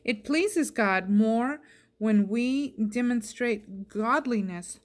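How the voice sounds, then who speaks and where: A woman with a fairly high voice reads scripture aloud. She phrases each verse plainly and clearly, as in an informal devotional reading. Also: animated, takes it slowly. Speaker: scripture reader.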